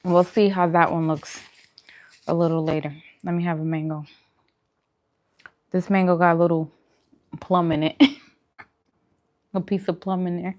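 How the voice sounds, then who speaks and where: A woman's voice: fairly low pitch, flat delivery, unhurried, pauses often, a little mellow. A woman hosting a mukbang, chatting casually to viewers between bites with murmured reactions. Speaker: mukbang host. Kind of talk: casual eating chat to viewers.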